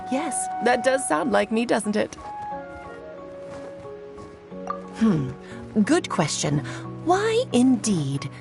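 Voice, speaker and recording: Elegant game witch: fairly high, lively melodic delivery, playful and knowing. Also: full character voice. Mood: teasing. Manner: teasing, poised, theatrical. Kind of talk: video game character acting.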